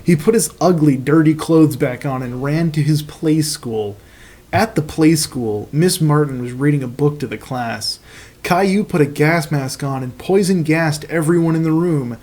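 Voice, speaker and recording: A man's voice: fairly high pitch, moderate pace, a little mellow. A man reading fan fiction aloud as host, in a comic narration with profane, mocking casual asides.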